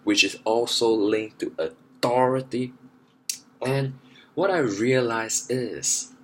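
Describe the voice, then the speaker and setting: A young man delivers an emphatic monologue, speaking very slowly in a fairly low voice. He hammers points home by repeating phrases, and a faint hiss of background noise runs under his words. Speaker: vlogger. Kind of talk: monologue to camera.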